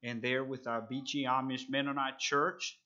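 Earnest preacher, bright voice. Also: male voice, medium pitch, little pitch movement, lo-fi sound. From El Salvador. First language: Spanish. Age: 30s. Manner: halting, earnest, with frequent fillers. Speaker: visiting preacher. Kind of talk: sermon.

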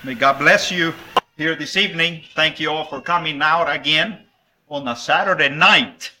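Preacher, middle-aged man from El Salvador, friendly chatty revival sermon, voice a little high and very bright. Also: normal speed, rough recording. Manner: friendly, conversational, with small laughs and fillers. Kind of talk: revival sermon with children's object lesson.

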